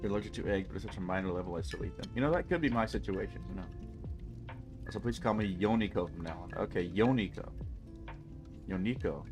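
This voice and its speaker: A man's voice: lowish voice, expressive, fast talker, music in the background. A man with a stuffy nose chatting casually and rambling jokily with his chat while streaming a game. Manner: rambling, jokey, talks to chat.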